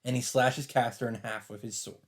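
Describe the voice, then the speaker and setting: A man's voice: mid-range voice, steady tone, a little fast, background noise. A man doing a let's play, reading visual novel narration aloud with casual, sometimes sweary commentary. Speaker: let's player.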